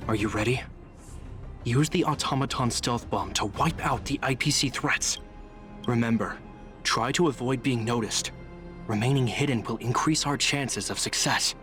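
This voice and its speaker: Game captain for a male actor: disciplined, courteous, earnest, medium pitch, music underneath. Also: moderate pace, a bit bright, lightly acted. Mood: serious, briefing. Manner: serious, courteous, orderly. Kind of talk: scripted video game dialogue.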